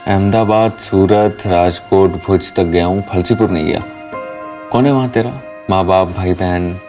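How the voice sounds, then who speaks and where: Man playing lovesick trucker, low voice, earnest and pushy, TV crime drama, distant mic, music behind. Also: moderate pace, dark tone, close to an everyday voice. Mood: earnest, probing. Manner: pleading, blunt, probing. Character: lovesick young male truck driver, earnest and insistent.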